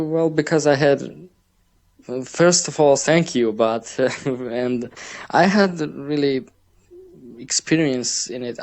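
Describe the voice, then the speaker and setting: A man with a Georgian accent speaks slowly and haltingly, hunting for English words and stopping to restart his phrases. His voice sits at an ordinary middle pitch for a man. He talks in a relaxed way, as a guest on a casual podcast. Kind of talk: casual interview chat.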